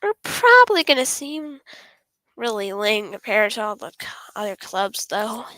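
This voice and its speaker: A woman doing a bubbly, high-voiced schoolgirl. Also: big pitch swings, normal speed, pushed and bright, no echo, poor audio. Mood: earnest. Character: bubbly, earnest teenage schoolgirl in a literature club.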